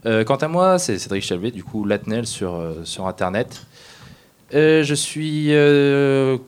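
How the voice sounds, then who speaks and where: A man introducing himself as a guest at a round table, speaking in hesitant, halting phrases. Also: mid-range voice, expressive, smooth. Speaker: guest, amateur audio fiction creator.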